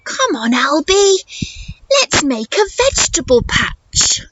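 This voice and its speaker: A reader puts on an encouraging mum from a picture book, a woman with a high, bright voice. She coaxes in a slow, measured way. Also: big pitch swings, no room sound, heavily acted, low-quality recording. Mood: coaxing. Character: Albie's mum, brisk encouraging woman keen on gardening.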